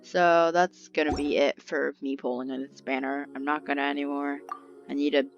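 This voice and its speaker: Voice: medium pitch, flat delivery, moderate pace, highs cut off, faint music behind. A gamer thinking aloud in casual, informal let's play commentary. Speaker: video game streamer.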